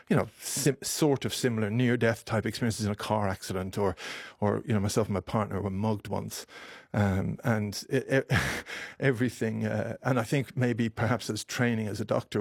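A doctor from Ireland in his fifties, speaking slowly in a low, gravelly voice. He starts and restarts his sentences, and his voice nearly breaks with emotion. The recording is dry and close, with no room sound. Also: a little mellow, no echo. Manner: halting, with frequent restarts and repeated words. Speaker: doctor, interview guest. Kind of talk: emotional radio interview.

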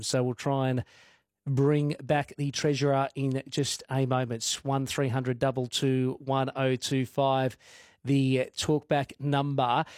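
A man's voice: mid-range voice, dry recording, studio quality. A man hosting a talkback radio show, chatty and self-deprecating. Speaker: radio host.

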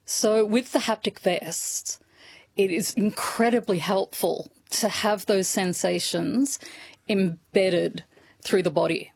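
A woman, working as a sign language interpreter and speaking a deaf guest's words in the first person, in a measured, reflective way. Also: mid-range voice, gravelly, a little mellow, slightly rough, no echo. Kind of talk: live spoken interpretation.

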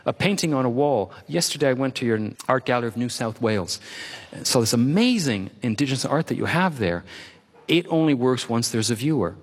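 A man's voice: mid-range voice, expressive, fairly quick, long reverb tail. Middle-aged man with a French first language. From Quebec, Canada. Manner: expansive, lists examples, repeats words for emphasis. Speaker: author guest. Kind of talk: reflective interview talk.

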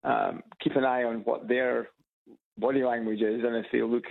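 Gravelly Edinburgh Scot on a phone line. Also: male voice, medium pitch, level tone, moderate pace. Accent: Edinburgh Scottish. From Scotland. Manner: hesitant, repeats words, chuckles at own stories. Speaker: caller. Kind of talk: casual phone chat. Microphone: phone on loudspeaker.

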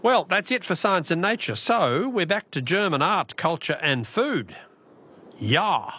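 Bantering Australian radio host, animated pitch. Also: male voice, moderate pace, slightly rough. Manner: jokey, bantering. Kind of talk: live phone-in quiz chat.

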